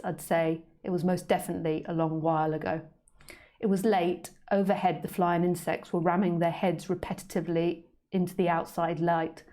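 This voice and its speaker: A cocky, vain tomcat tells his tale in working-class London slang, read in the plain voice of the woman who wrote it. The voice sits fairly low and even, and the delivery is steady storybook narration. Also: lightly acted. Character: vain, proud male street cat, cocky.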